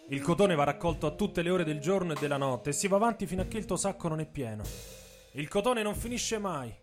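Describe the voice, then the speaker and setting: A man's voice: a little high, expressive, fast. Man narrating radio theatre over music. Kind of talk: scripted dramatic narration, radio theatre storytelling. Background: saxophone and drums music.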